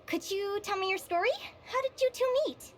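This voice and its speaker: A woman's voice: very high voice, fairly quick, noisy background. A woman voicing an eager, curious young woman guild advisor in a video game, friendly and enthusiastic in manner. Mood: curious, excited. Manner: bubbly, enthusiastic. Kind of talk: video game character dialogue. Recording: music behind the voice.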